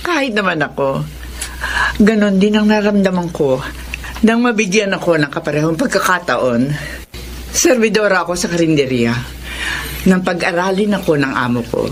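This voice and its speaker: A woman's voice: fairly low pitch, lively intonation, normal speed, pauses often, lightly acted. An actress playing a kindly, teasing middle-aged mother in a radio drama, motherly and probing. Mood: sympathetic. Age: middle-aged or older. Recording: noisy ambience behind dialogue.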